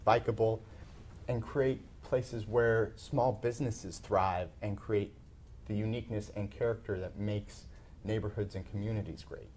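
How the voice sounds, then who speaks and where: Earnest man with a lowish voice. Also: steady tone, a little slow, a little mellow, a little hiss or hum. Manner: closing a pitch, earnest. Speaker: city council candidate.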